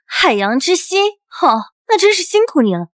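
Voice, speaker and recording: A woman's voice: extremely high pitch, sing-song, moderate pace, a bit bright, heavily acted. A woman playing a bold, brash and protective princess with a teasing manner in a fantasy drama. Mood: mocking. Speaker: voice actor in a fantasy short drama.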